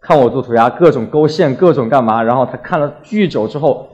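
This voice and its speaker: Young male speaker from Wuhan, medium pitch, for an informal artist's talk; colloquial storyteller who acts out bits of dialogue. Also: fairly quick, darkish tone, muffled recording. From Wuhan, China. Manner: colloquial, acts out little exchanges. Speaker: graffiti artist giving a talk. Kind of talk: casual stage talk, anecdotal storytelling.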